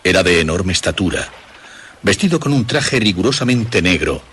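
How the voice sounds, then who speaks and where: A man narrating a scripted horror story for radio in a measured, dramatic storytelling manner. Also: deep voice, lively intonation, fairly bright tone, slight background noise.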